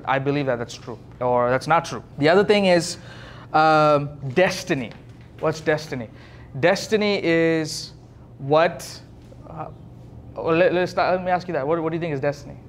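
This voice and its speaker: A man's voice: fairly high pitch, normal speed, slight background noise. A man from Sri Lanka teaching as a youth pastor in a casual, question-driven way, explaining things informally. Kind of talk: casual interactive teaching talk.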